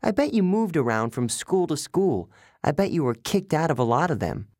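A man voicing a smart, confident girl camper who explains things earnestly, in a higher voice than his own. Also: very animated, moderate pace, a little mellow, no room sound, studio quality.

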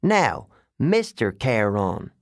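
A man voicing a suave, condescending underworld ferryman with a British accent and a smooth, cold, sardonic drawl in a storybook reading. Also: mid-range voice, very animated, measured pace, no room sound, studio quality, voice pushed up. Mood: condescending, irritated.

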